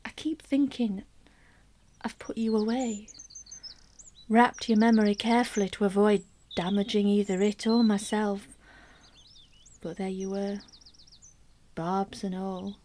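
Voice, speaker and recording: An actress as a married mother confiding in a gentle radio play. She speaks slowly at a medium pitch, wistful and measured, with intimate near-whispered moments. There is faint noise behind the voice. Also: fairly even intonation, close to an everyday voice. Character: wistful married mother narrating her private longings. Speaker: actor in a solo radio play. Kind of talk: gentle dramatic monologue.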